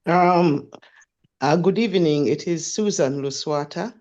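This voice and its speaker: A woman's voice: low voice, measured pace, darkish tone, lo-fi sound. Ugandan woman giving appreciative feedback. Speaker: audience member giving feedback. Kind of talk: spoken feedback in online class.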